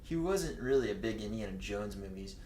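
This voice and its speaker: A man's voice: fairly low pitch, lively intonation, a little fast, darkish tone. Man casually reading aloud in echoey room. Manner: tosses in crude, teasing asides. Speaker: guest co-reader of fan fiction. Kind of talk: casual joking read-aloud. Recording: roomy echo with clear background noise.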